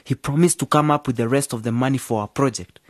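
A man's voice: mid-range voice, fast, dry recording, clean studio sound, lightly acted. An actor playing an earnest young male journalism student who runs a community radio station. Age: young adult. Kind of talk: radio drama acting.

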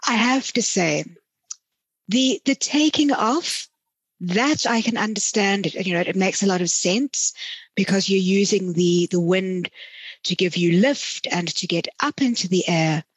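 A woman interviews in a medium-pitched, noticeably husky voice. She puts her questions in a measured, thoughtful and conversational way. The recording is very dry and free of room sound. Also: dry recording. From South Africa. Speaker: podcast host, interviewer.

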